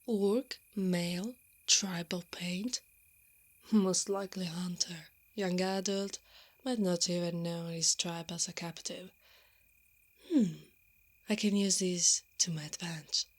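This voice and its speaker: A woman playing a brave, sardonic female knight in an ASMR roleplay, breathy and often whispering, muttering dryly to herself. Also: fairly low pitch, fairly even intonation, slow. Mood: hushed, calculating. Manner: muttering to herself, dry and sarcastic.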